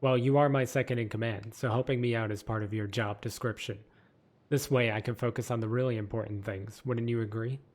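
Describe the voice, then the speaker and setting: A man voicing a relaxed, free-spirited male knight leader, a little louder than his narration. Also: mid-range voice, fairly quick, close to an everyday voice. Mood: breezy.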